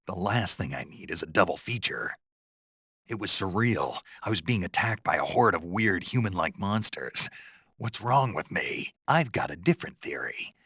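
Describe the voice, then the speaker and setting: A man playing a gruff, raspy special forces soldier in a video game, stoic and dryly naive, with a terse, deadpan delivery. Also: deep voice, moderate pace, no room sound, studio quality, heavily acted. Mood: dry, disgruntled.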